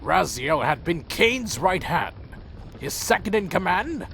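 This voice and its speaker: Ancient vampire clan lord for fantasy audio drama: proud, brooding, contemptuous, higher-pitched, very slow delivery. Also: male voice, sing-song, creaky, fairly bright tone, slight background noise, full character voice. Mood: bitter, proud. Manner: slow, theatrical, brooding and menacing. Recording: faint music under the voice.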